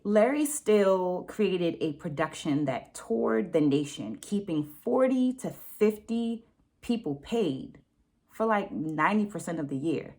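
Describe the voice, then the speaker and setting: A woman hosting a book discussion, speaking in deliberate, emphatic phrases with conversational check-ins and quotes read aloud. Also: on the low side, expressive, darkish tone. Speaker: book review host.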